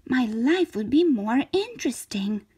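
A woman acts out a little storybook shape that is never satisfied. The voice is high and animated, with an earnest, plaintive delivery. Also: a little slow, breathy edge, exaggerated voice. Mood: wistful. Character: restless, dissatisfied little living shape.

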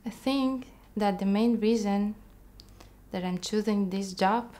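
A woman of twenty-five with a Russian accent, speaking Russian as her first language, telling her personal story to camera in an earnest, reflective and slightly halting way, recorded in a bright studio. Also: medium pitch. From Kazakhstan. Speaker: immigrant counselor.

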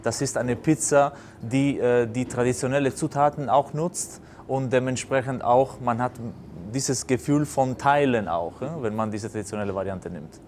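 Male, 40s, native Italian with Italian accent, restaurateur being interviewed, halting delivery, mid-range voice, noisy venue ambience. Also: mid-range voice, darkish tone. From Basilicata, southern Italy. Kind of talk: unscripted interview answers. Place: indoors in a restaurant bar. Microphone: lapel mic. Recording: clear background noise, restaurant ambience.

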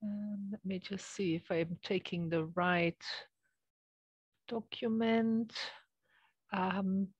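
A woman's voice: medium pitch, steady tone, takes it slowly, pauses often, husky, no room sound, muffled recording. Dry-sounding woman presenting, from Belgium. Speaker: associate professor, guest speaker. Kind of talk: online academic presentation. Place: small office with bookshelves, indoors. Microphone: headset on a video call.

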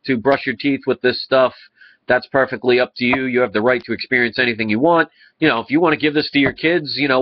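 Congested man on a dull webcam. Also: medium pitch. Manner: earnest, rambling with restarts. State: congested, stuffy nose. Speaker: vlogger. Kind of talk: casual talk to camera. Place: living room indoors. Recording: slightly dull lo-fi webcam audio.

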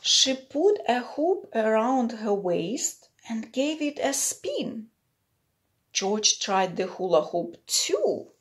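A woman's voice: on the high side, big pitch swings, takes it slowly. A middle-aged woman reading a picture book aloud to young children, explaining it simply and putting questions to the listeners. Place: small plain room indoors.

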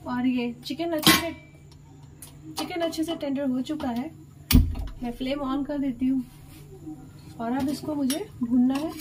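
A woman's voice: sits high, fairly even intonation, measured pace, pauses often. A woman hosting a cooking video, chatting through each step for her viewers in her home kitchen. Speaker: cooking channel host. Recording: noisy home recording.

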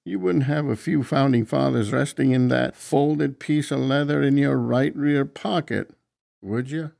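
An actor playing a young, wry highway cop in an audiobook, gravelly and colloquial. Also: male voice, measured pace, darkish tone, no room sound, clean studio sound. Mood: sly, angling. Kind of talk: dramatized audiobook dialogue.

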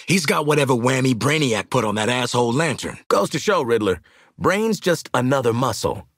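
A man's voice: medium pitch, animated, normal speed, a bit bright, dry recording, studio quality. A man playing a cocky, wry mercenary marksman in a video game, streetwise and sardonic, barking commands to his squad and swearing freely. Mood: wry, casual.

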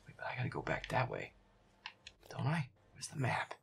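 Guy acting as feisty boyfriend gaming, swears under his breath, kinda creaky and whispery, pitch jumps around. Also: wildly swooping pitch, moderate pace, fairly bright tone, exaggerated voice. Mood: grumbling. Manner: sweary, exasperated muttering. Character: feisty, foul-mouthed boyfriend grumbling through a scary game. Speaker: audio roleplay performer. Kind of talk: casual gaming commentary in boyfriend audio roleplay.

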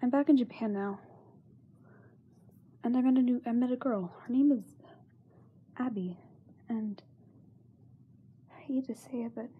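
A young woman playing a frightened, self-doubting young singer in a halting diary monologue, often dropping to a whisper. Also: fairly high pitch, breathy edge, phone-quality sound. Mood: weary, downcast. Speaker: amateur voice actor. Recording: noisy makeshift amateur microphone recording.

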